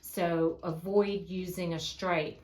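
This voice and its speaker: A woman explaining a paper craft step by step in a casual, unhurried way with frequent fillers, her voice going hoarse. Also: on the low side, fairly even intonation, a little mellow, a little hiss or hum, rough recording. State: losing her voice, coughing. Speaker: craft tutorial host.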